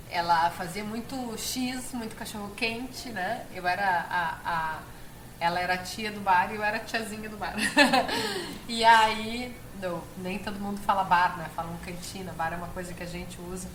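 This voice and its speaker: A woman's voice: medium pitch, a bit bright. A woman with a southern Brazilian accent, telling personal stories as an interview guest, earnest and given to emphatic repetition. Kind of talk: personal storytelling in conversation. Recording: echoey room, clear background noise.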